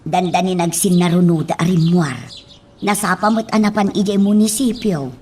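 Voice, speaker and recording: A woman acting a gently firm, deferential household nanny in a radio drama. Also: fairly low pitch, flat delivery, normal speed, lightly acted. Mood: apologetic, firm. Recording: faint background noise.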